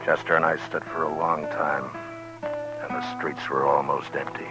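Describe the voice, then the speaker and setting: A man's voice: a bass voice, steady tone, normal speed, rough recording. An actor playing a stern, weary, compassionate frontier lawman in a terse, grave 1950s radio western style. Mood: somber narration. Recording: 1950s radio drama with music.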